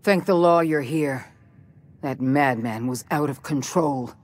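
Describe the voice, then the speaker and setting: An actor playing a self-important corporate board leader in a video game, speaking with formal, grave authority. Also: male voice, medium pitch, unhurried, natural voice. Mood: relieved, haughty. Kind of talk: dramatic video game acting.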